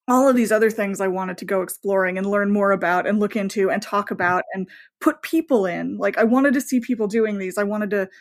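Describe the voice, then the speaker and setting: A woman novelist talking casually as an interview guest, enthusiastic and rambling, often voicing her own thoughts as asides. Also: medium pitch.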